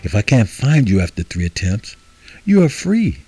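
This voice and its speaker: A man voicing a gleeful evil spirit in a spooky story, taunting a child with drawn-out, singsong menace. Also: big pitch swings, poor audio. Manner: drawn-out, singsong menace swooping from low to high. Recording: music playing behind the voice.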